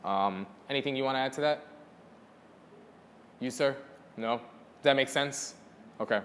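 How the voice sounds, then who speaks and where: A man's voice: mid-range voice, unhurried, fairly bright tone, in a large hall, a little hiss or hum. A man who trains dogs, answering viewer questions in a casual teaching style and repeating words for emphasis. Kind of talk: casual instructional Q&A.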